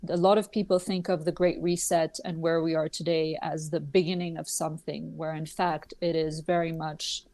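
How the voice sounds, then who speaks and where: Low, gravelly Swiss-raised woman. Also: flat delivery, warm tone, dry recording. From Switzerland. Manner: measured, explanatory, with many fillers. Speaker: guest. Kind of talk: podcast interview.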